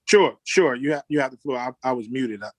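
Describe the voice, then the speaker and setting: A man chairing a formal virtual board meeting in a deliberate, procedural manner over a video call. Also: medium pitch, a crowd in the background.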